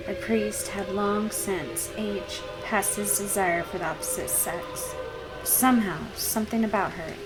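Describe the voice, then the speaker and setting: Slow-paced woman reading game narration. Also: medium pitch, poor audio. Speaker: gaming channel host. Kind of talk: reading visual novel narration aloud.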